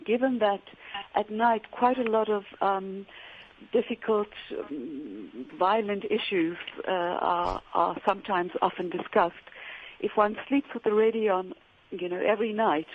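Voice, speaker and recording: Phone-in caller, halting, thin phone-line sound with light hiss. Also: medium pitch. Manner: hesitant, searching for words. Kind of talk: phoning in a question.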